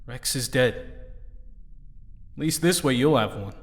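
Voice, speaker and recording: An actor playing a grieving young superhero in an audio drama, earnest and halting in his delivery. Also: medium pitch, lively intonation, dry recording. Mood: subdued. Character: conflicted grieving young male superhero team leader.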